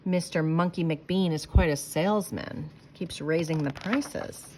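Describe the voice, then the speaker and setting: A woman's voice: sits low, measured pace, darkish tone, a little hiss or hum, poor audio. A woman reading a rhyming picture book aloud to children with emphatic, sing-song expression and short asides.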